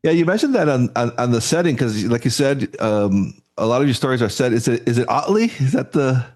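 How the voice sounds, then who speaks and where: A man hosting a podcast asks his guest questions in a loose, halting way. He often stumbles over his words, repeating them, and laughs along easily. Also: medium pitch, lively intonation, darkish tone. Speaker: podcast host. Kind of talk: casual interview chat.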